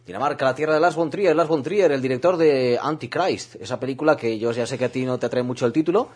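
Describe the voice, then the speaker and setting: A man's voice: mid-range voice, fast talker, a little mellow. A man co-hosting a radio film show, chatting and joking with a teasing manner. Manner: jokey, laughs often, teasing. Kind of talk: casual joking radio chat.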